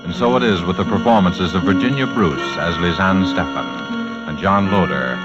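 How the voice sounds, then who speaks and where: A man playing an ominous, formal mystery narrator in a measured 1940s radio style. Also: low-pitched, natural voice. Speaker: announcer. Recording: muffled 1940s radio recording with music. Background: loud dramatic music.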